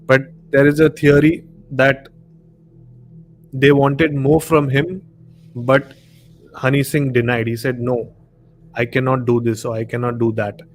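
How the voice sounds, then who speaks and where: A man's voice: mid-range voice, little pitch movement, unhurried, long pauses, low-quality recording. A man with an Indian accent hosting a casual live discussion, rambling a little and repeating words for emphasis. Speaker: live stream host. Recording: clear background noise, room ambience.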